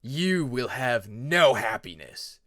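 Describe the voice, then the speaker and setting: Man voicing a slow, menacing shadow dragon. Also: mid-range voice, very animated, a bit bright, dry recording, studio quality, voice pushed down. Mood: menacing, taunting. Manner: drawn-out, ominous delivery.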